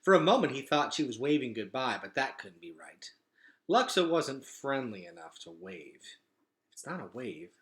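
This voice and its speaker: A man reading a storybook aloud as an expressive, dramatic narrator. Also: mid-range voice, normal speed. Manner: animated, dramatic. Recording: echoey room.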